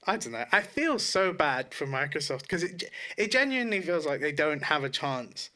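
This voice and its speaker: A man's voice: fairly high pitch, sing-song, moderate pace, bright, forceful tone. A man co-hosting a podcast in casual chat, talking informally and correcting himself as he goes. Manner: informal, sweary, self-correcting. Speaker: podcast co-host.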